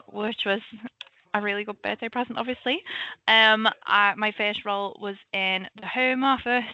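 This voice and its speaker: A woman in her twenties with a Liverpool accent, a government analyst giving a chatty, informal career talk at a quick clip. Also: medium pitch, bright, forceful tone, dry recording, highs cut off. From Liverpool, England. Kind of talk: casual webinar presentation.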